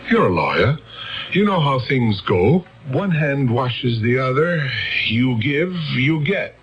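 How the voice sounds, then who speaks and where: Slow, shrewd police lieutenant. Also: male voice, medium pitch, big pitch swings, breathy edge, heavily acted. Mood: coaxing. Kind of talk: radio drama acting. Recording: dull radio recording with music.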